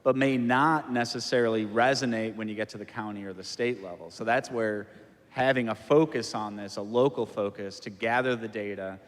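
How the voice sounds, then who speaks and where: A man speaks in a measured, explanatory way at a city council meeting. He lays out his reasoning point by point. His voice trails into the long reverb of the council chamber. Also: fairly low pitch, fairly even intonation. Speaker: council member.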